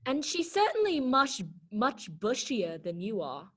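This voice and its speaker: A snooty garden flower voiced by a woman looks down on everyone in a children's storybook play. The voice is high and lively in its swings, with a condescending, snippy edge. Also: unhurried, full character voice. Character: snooty, blunt female talking garden flower. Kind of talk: children's readers theater read-aloud.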